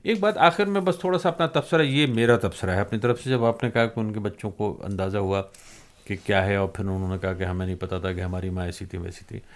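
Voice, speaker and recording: A man's voice: fairly low pitch, fairly quick. A man hosting an interview, giving his own opinions emphatically and repeating phrases for weight. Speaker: interviewer, host. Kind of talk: conversational interview commentary.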